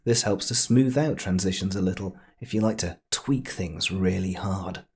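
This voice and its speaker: A man presenting a casual software tutorial in a playful, chatty way with jokey asides. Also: deep voice, moderate pace, breathy edge.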